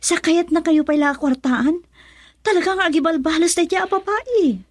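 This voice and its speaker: An actress with a Filipino accent playing an indignant young daughter, sharp and accusing, in a radio drama. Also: female voice, very high voice, moderate pace, dry recording, studio quality. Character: indignant young daughter standing up to her father.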